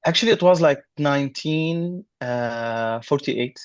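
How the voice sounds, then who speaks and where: Halting Syrian man, dry muffled sound. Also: mid-range voice, dry recording. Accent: Syrian Arabic. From Damascus, Syria. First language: Arabic. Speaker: podcast guest, app designer. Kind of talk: conversational personal account.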